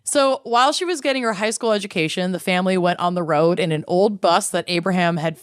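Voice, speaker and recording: Chatty low-voiced woman, smooth and dry. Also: quick pace, no room sound. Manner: chatty, swears casually, uses filler "like". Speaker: podcast co-host. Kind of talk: casual storytelling chat.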